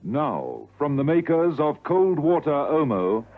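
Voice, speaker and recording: A man's voice: on the high side, big pitch swings, takes it slowly. A man announcing a sponsored radio serial in a 1960s radio style. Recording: muffled old radio recording. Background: loud music.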